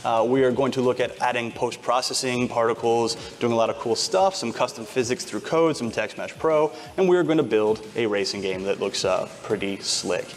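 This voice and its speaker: A man presenting a game-building workshop on stage in a casual, brisk way. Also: medium pitch. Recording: reverberant hall with faint music.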